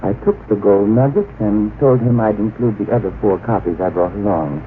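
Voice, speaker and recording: An actor playing a dreamy, self-satisfied young secretary and would-be author in an old-time radio drama, airy and excitable. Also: male voice, lowish voice, normal speed, close to an everyday voice. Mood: pleased, smug. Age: young adult. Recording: tinny, hissy old radio recording.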